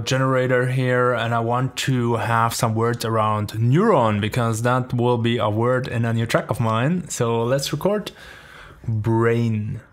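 Chatty man with a German accent. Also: mid-range voice. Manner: chatty, rambling, lots of "like" fillers. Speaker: music production tutor. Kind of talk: casual screen-recorded tutorial. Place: home studio room. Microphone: studio microphone near the face.

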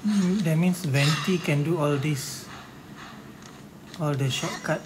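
Slow, low-voiced woman doing game commentary. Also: background noise. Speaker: gamer doing a walkthrough. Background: game sounds.

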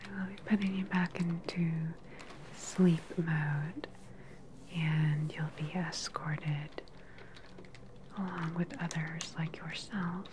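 Casting: woman to play evil sci-fi lab scientist, low, unhurried breathy whisper, gentle and clinical, ASMR roleplay. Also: steady tone, noisy background. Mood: soothing, clinical. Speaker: ASMR performer. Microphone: close microphone. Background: sci-fi lab ambience.

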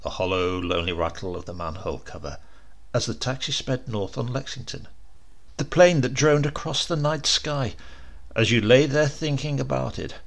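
Male narrator, dramatic scripted reading, heavy vocal fry, animated pitch. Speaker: audiobook narrator.

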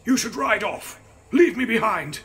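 An injured coachman, acted by a man reading a gothic novel, urgent and deferential. The croaky voice is pushed high and loud with strain. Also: expressive, unhurried. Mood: pained, urgent.

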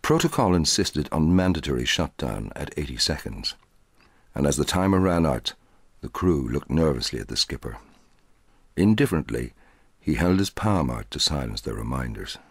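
A middle-aged man reading a short story aloud in a measured, dramatic way, with a husky voice. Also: very deep voice, very animated, no echo, studio quality. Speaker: audiobook narrator.

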